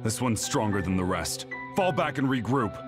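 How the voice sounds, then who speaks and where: An actor playing a gruff, terse, guarded soldier in a video game, curt and dismissive but protective. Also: male voice, fairly low pitch, sing-song, moderate pace. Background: music.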